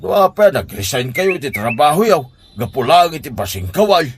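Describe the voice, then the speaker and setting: A man's voice: on the high side, sing-song, a little fast, a little hiss or hum. A man playing a nagging, concerned older uncle in a comedy radio drama. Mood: scolding. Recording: music under the voice. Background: background music.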